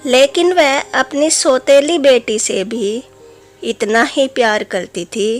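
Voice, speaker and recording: A woman reads a children's fairy tale in a high voice. She takes her time over each phrase, in a storyteller's scripted style, with music playing behind her. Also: steady tone, slow.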